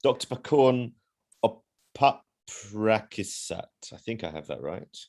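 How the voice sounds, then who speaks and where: Man, low voice, hesitant and apologetic webinar remarks via video call. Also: lively intonation, slow pace, a little mellow. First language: not Indonesian. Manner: hesitant, apologetic, many fillers and self-conscious laughs. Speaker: co-founder presenting certificates.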